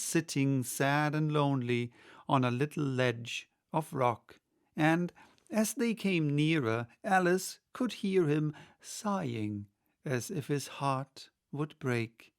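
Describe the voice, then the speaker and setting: A man's voice: a little high, lively intonation, slow, creaky. A man reading a classic children's story aloud as scripted audiobook narration. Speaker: audiobook reader.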